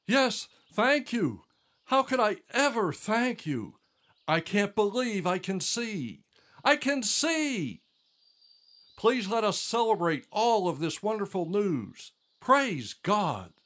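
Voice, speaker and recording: A man's voice: high voice, big pitch swings, slow pace, a bit bright, exaggerated voice. An older man playing a devout, grateful elderly father in a religious audio drama, with fervent, reverent delivery. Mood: overjoyed.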